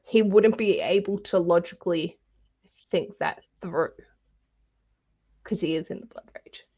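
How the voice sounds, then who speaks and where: A woman's voice: on the low side, measured pace, lo-fi sound. A woman co-hosting a casual, conversational book discussion.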